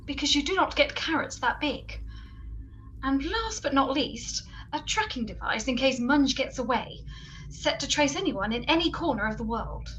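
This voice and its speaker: Woman playing brisk, high-voiced gadget expert Boothroyd. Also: slight background noise, rough recording. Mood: brisk, deadpan. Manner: brisk, deadpan, emphatic. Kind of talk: comedic radio play acting.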